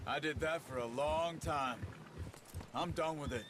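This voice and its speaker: An actor playing a calm, watchful, pragmatic outlaw hunter in a video game cutscene, speaking in a measured, matter-of-fact way. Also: male voice, on the high side, background noise, natural voice. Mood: reflective, sincere.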